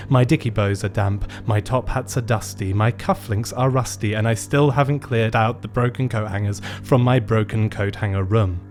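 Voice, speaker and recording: Man playing gloomy low-voiced shopkeeper narrator. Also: natural voice. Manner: melancholy, theatrical, measured storytelling. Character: lonely, gloomy male tuxedo shop owner narrating his tale. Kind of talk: scripted first-person radio play narration. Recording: music bed behind the voice.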